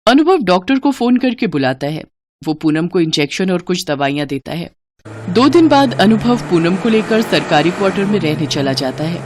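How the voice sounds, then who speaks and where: A woman's voice: lowish voice, darkish tone, dry recording. A woman narrating a scripted moral story for children as the storyteller.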